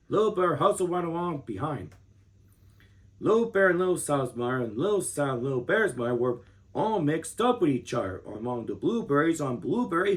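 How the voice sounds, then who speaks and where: Animated man reading a storybook aloud. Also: fairly high pitch. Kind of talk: expressive children's book read-aloud.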